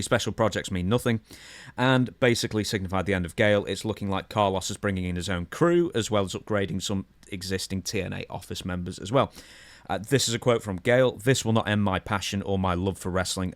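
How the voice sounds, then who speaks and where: A man's voice: deep voice, dry recording. A man hosting a podcast, presenting news conversationally and putting questions to his co-host.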